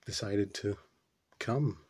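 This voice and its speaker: A man playing a puzzled, brooding character in a theatrical stage monologue, pondering haltingly with deliberate pauses. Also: on the low side, a little mellow, breathy edge. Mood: wistful.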